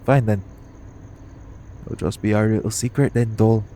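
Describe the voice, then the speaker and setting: A man's voice: lowish voice, fairly even intonation, normal speed, mellow, slight background noise, natural voice. A man playing a cocky, teasing, foul-mouthed hitman in an audio roleplay. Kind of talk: audio roleplay acting addressed to the listener.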